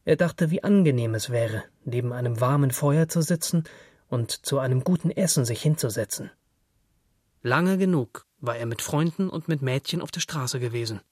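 A man's voice: medium pitch, normal speed, darkish tone, dry recording, studio quality. A man narrating literary fiction from a script.

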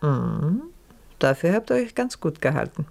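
Low-voiced, imperious noblewoman. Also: big pitch swings, moderate pace, gravelly, darkish tone, full character voice. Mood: commanding. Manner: haughty, condescending, commanding. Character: imperious, scheming wealthy French noblewoman, a widow. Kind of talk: German radio play acting.

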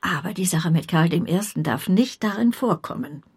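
An actress playing a brisk, blunt older aunt with a kind core in a radio play, opinionated and emphatic, with a husky, creaky voice. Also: female voice, lowish voice, expressive, exaggerated voice. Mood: emphatic, proud.

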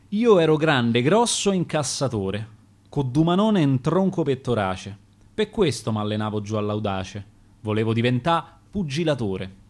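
Roman guy reading his dialect poems on the radio, steady rhythmic verse, medium voice. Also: medium pitch. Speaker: poet reading his own sonnets. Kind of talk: radio poetry recitation. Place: radio studio.

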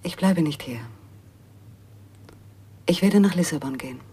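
A wary, cool femme fatale in a noir radio play. Her voice is husky and breathy, hushed and sparing with words, with a melancholy edge. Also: low-pitched, unhurried, exaggerated voice. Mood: guarded, melancholy. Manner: hushed, terse. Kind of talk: radio drama acting.

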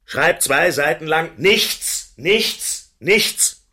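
A man's voice: a little high, animated, extremely slow, bright, forceful tone, husky, heavily acted. An actor playing a stubborn, embittered middle-aged father in a radio drama, insistent and terse. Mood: bitter, agitated. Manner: insistent, terse, emphatic repetition.

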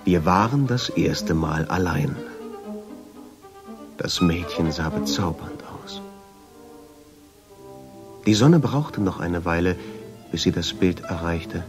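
An actor playing a reflective traveller who tells his story in measured, deliberate radio drama narration, with a husky voice. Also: animated, music in the background. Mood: brooding, fascinated. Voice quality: low, husky voice. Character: reflective male traveller, drawn and obsessive, telling his story. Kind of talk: literary radio drama narration in first person.

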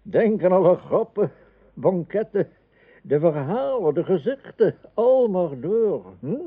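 An actor playing a weary, three-hundred-year-old wizard and mentor in a radio play, solemn and wistful. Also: male voice, sits high, expressive, slow pace, breathy edge, heavily acted. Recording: narrow phone-like radio play sound.

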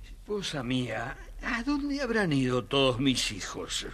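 A man playing a gentle, sad, trusting old king in an audio fairy tale. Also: medium pitch, huge pitch swings, measured pace, husky, heavily acted. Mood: worried. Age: older.

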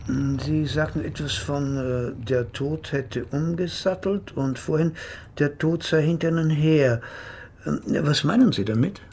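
An actor playing a measured, sceptical psychotherapist in a radio play, speaking in a formal, pedantic, hedging manner. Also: male voice, fairly high pitch, darkish tone, a little hiss or hum. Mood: measured, probing. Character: measured, sceptical male psychotherapist analysing his patient.

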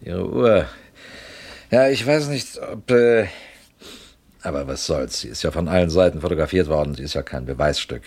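An actor playing a middle-aged police inspector who asks polite, slow, needling questions in a radio play. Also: male voice, deep voice, lively intonation, a little mellow. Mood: probing.

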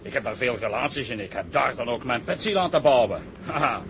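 Wealthy yacht owner, genteel chatty manner, swooping higher voice, self-satisfied, noisy sea-drama recording. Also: male voice, expressive, a little fast, a bit bright. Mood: breezy, boastful. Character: wealthy, affable yacht owner, flustered when money is at stake. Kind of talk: Dutch dramatised sea story acting.

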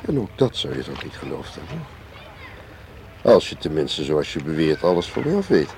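An actor playing a suave, cagey foreign spy in a radio play, teasing and unhurried, with an ironic way of talking. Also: male voice, very deep voice, big pitch swings, darkish tone, exaggerated voice. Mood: cool, evasive. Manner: ironic, leisurely, ends remarks with questioning tags. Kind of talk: radio drama acting. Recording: clearly hissy old radio play recording.

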